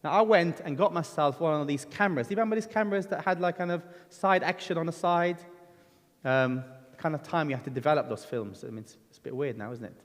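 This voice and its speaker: A middle-aged man from Lebanon preaches in a church hall, and his voice carries a long reverb. His pitch sits a little high for a man. He tells his own story earnestly and conversationally, with ums and restarts. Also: fast. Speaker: guest preacher. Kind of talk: sermon with personal testimony.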